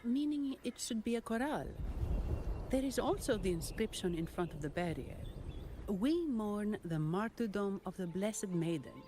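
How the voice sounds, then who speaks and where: A woman's voice: medium pitch, lively intonation, normal speed, noisy background, natural voice. A woman voicing an inquisitive, level-headed young adventurer in a video game, talking matter-of-factly. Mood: reasoning. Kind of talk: video game character dialogue.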